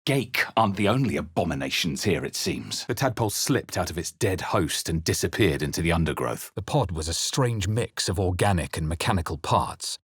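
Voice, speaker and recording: A man playing a wry, haunted adventurer hero in a video game, narrating his journey in measured, theatrical lines. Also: low-pitched, lively intonation, breathy edge, no echo, clean studio sound. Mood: wry, observant. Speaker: video game player character voice.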